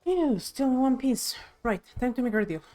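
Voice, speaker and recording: A woman's voice: fairly high pitch, expressive, normal speed, mellow, faint music behind. A woman reading video game dialogue aloud expressively on a live stream.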